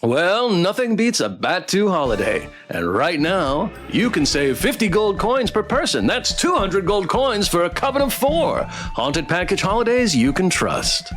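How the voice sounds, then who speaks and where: Man doing showy male announcer for a spooky game: highish, animated, theatrical, faint music. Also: a little slow, full character voice. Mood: salesy, eager. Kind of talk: reading visual novel dialogue aloud.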